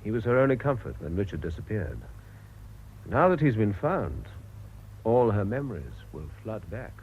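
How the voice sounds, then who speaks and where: A brisk, urbane British man in charge in a radio play. His low voice probes with animated, dramatic swings. The sound is muffled and slightly noisy. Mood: decisive. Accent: British English. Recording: dull lo-fi radio recording with noise.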